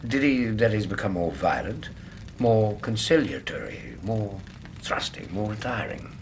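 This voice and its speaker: An actor playing a gentleman questioner in a radio drama, formal and deliberate, choosing his words carefully. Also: fairly low pitch, sing-song, slight background noise. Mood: searching.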